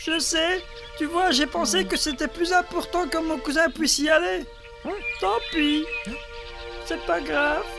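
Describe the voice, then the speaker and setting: A woman's voice: very high-pitched, fairly even intonation, measured pace, creaky, heavily acted. A kind, cheerful cartoon boy. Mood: gentle. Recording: cartoon with music underneath.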